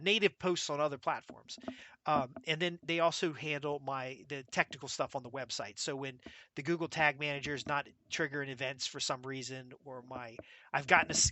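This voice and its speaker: American guy doing a solo podcast, kinda high voice, punchy, keeps listing stuff. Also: pushed and bright, dry recording. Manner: brisk, emphatic, lists things off. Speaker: podcast host. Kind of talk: solo business podcast talk.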